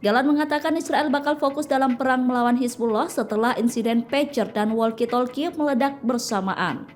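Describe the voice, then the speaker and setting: A woman's voice: high voice, a little fast. A woman narrates news from a script.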